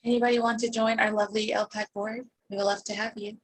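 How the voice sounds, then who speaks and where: A woman's voice: medium pitch, flat delivery, fast, slight background noise, rough recording. A woman from the United States, a parent on the board, talking eagerly in an online meeting, rambling and restarting her sentences. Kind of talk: casual online meeting talk. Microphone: video call.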